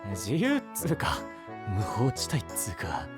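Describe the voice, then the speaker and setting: A man playing a brusque, easily flustered but earnest young knight in an anime voice drama, with blunt rough casual speech. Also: lowish voice, huge pitch swings, unhurried, music in the background. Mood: exasperated.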